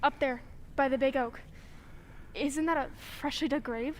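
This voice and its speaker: A woman's voice: high voice, fairly even intonation, normal speed, a little hiss or hum, close to an everyday voice. A woman playing an easily alarmed, indignant villager with earnest, theatrical old-time radio acting. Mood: uneasy, curious.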